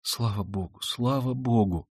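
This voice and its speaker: A man's voice: medium pitch, a little slow, darkish tone, slightly rough, no echo. A man reading an audiobook voices a frightened, loving young woman who pleads with anxious exclamations, barely shifting his own voice. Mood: anxious, tender.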